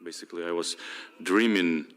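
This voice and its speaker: A man being interviewed about winning a singing prize, speaking a haltingly with fillers and pauses. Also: deep voice, steady tone, a bit bright. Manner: halting, searching for words with fillers. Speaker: interviewed singer.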